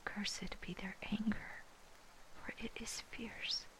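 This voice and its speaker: A woman reading scripture in a soft, breathy, unvoiced whisper, ASMR style, with measured phrasing. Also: mid-range voice, little pitch movement, poor audio. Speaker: scripture reader.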